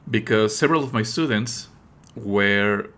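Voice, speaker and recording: A man with a fairly low but bright, forceful voice hosts a live stream. He explains things in a pedantic, opinionated way, halting between phrases and leaning hard on key words. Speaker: streamer and host. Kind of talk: live game stream commentary, explanatory talk.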